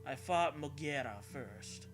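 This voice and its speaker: A man's voice: on the high side, expressive, slow pace, over music. A man reading a story aloud as a host, in a comedic dramatic style with casual, irreverent, sweary asides. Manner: irreverent, sweary, often breaks into laughter.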